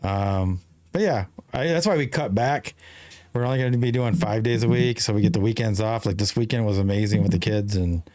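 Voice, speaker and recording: A man in his fifties hosts a casual live chat show, wandering through his thoughts with halting restarts and fillers. His voice sits low, and the sound is dry and close. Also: a little mellow, no echo. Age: 50s. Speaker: show host. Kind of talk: casual live-stream conversation.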